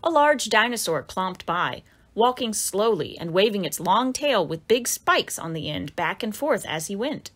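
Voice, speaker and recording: A woman reading her own children's picture book aloud, with expressive storybook narration and dramatic exclamations for young listeners. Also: mid-range voice, unhurried, few pauses.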